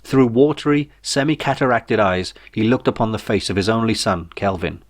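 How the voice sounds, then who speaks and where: A man's voice: fairly low pitch, moderate pace, dry recording. A man reading a comic novel aloud as its narrator, with a wry, theatrical delivery. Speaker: author reading his own novel. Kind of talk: comic scripted audiobook narration.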